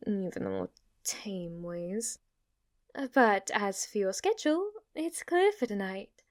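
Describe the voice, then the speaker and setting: Woman acting as a demon maid, ASMR roleplay, medium-pitched, flirty, servile, fanatically adoring, breathy whispered dips. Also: big pitch swings, slow. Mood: flirty, delighted.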